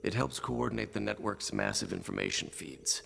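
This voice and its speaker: An actor playing a weary, dry alien ex-information trader in a video game, with a gravelly rasp and clipped delivery. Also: male voice, fairly low pitch, wildly swooping pitch, moderate pace, a bit bright, a little hiss or hum, exaggerated voice. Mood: dry, guarded. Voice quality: gravelly, rasping.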